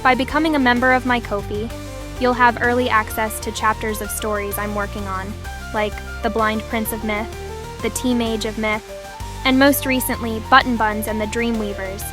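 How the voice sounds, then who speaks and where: A woman's voice: on the high side, fairly even intonation, normal speed. A woman author and channel host reading a scripted, courteous appeal to listeners. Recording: clear music bed.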